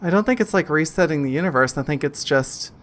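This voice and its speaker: A man narrating and explaining as game master in a tabletop role-playing game, in a measured, slightly hesitant way. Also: on the high side, expressive, fairly bright tone.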